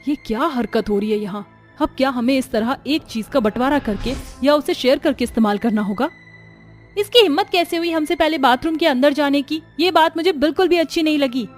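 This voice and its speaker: A woman's voice: high voice, a little fast, faint music behind, natural voice. A woman voicing a haughty, indignant young rich daughter-in-law in a cartoon story, scornful and complaining.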